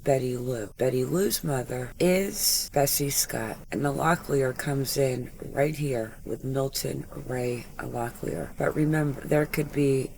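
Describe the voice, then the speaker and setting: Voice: mid-range voice, takes it slowly, creaky, hardly any pauses, darkish tone, a little hiss or hum, low-quality recording. A true crime channel host giving casual, opinionated commentary with lots of filler words. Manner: chatty, filler-heavy, opinionated.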